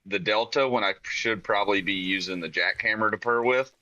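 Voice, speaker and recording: A man hosting a podcast, asking halting, searching questions in a casual chat. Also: lowish voice, pushed and bright, highs cut off. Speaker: podcast host.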